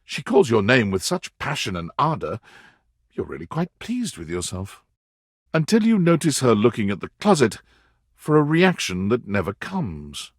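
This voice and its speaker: A man performing as the storyteller narrator of a dating game, reading scripted second-person narration in an expressive, measured way. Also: mid-range voice, husky, no room sound, clean studio sound. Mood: wry storytelling.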